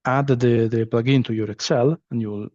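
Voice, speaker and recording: A man's voice: medium pitch, fairly even intonation, normal speed, darkish tone, no room sound, highs cut off. A man with an Italian accent, a non-native English speaker, giving a training session with many fillers and restarts. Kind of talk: online training presentation.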